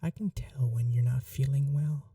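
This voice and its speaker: A performer voicing a sweet, innocent young vampire prince, a doting younger brother, in breathy, earnest ASMR roleplay. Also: mid-range voice, steady tone, moderate pace, warm tone, no echo, studio quality, recorded from a distance, lightly acted. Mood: reassuring. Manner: breathy, whispery, earnest, affectionate.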